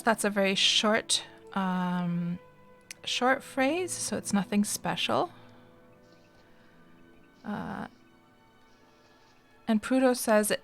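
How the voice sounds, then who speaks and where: A middle-aged woman, chatting casually and expressively with viewers as a live streamer. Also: mid-range voice.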